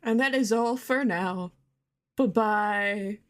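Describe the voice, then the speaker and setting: A woman's voice: medium pitch, slow pace. A woman with a mid-range voice records a listener message. She gives fan commentary in an earnest, enthusiastic way. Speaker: podcast listener sending voice message.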